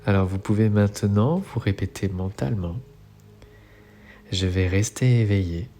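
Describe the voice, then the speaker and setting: A man leading a guided relaxation meditation as an instructor, speaking in soothing, measured phrases. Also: fairly low pitch, a little hiss or hum. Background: soft music.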